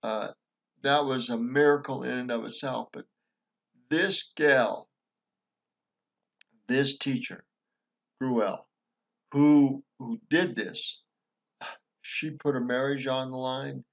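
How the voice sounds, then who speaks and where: A man's voice: mid-range voice, fairly even intonation, slow, gravelly, long pauses, a bit bright, highs cut off. A man from Montana giving casual, earnest commentary on a film, rambling with many fillers and restarts. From Montana, USA. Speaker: vlogger reviewing a film.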